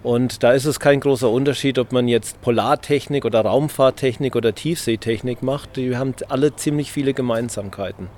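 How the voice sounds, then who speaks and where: A male engineer speaking in a measured, slightly hesitant way with fillers. He is answering interview questions about testing his technology. His voice sits at an average pitch. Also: darkish tone. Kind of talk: conversational explanation. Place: event venue.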